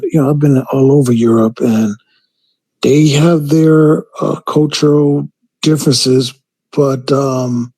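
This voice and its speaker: A man calling in to a talk show, speaking in a halting way with many fillers and restarts. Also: mid-range voice, dark tone, slightly rough, no echo. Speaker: caller. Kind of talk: call-in commentary.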